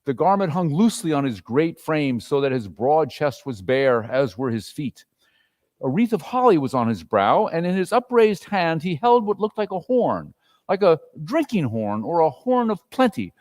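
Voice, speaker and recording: A man's voice: a little high, very animated, measured pace, darkish tone, big-room sound. A man narrating a classic story as a dramatic reader.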